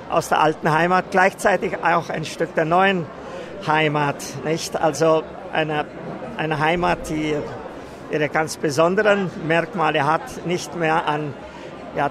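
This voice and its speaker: A man gives a hesitant, deliberate interview. His voice is fairly high. Street crowd noise fills the space around him. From Banat, Romania. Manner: hesitant, deliberate, searching for words. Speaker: institute representative being interviewed.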